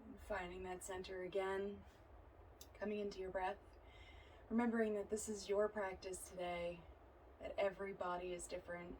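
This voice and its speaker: A woman teaches a yoga and meditation session with soothing, unhurried phrasing. Her medium-pitched voice stays very even, with little rise or fall. It is an amateur recording with faint noise behind her. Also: poor audio. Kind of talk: guided yoga and relaxation instruction.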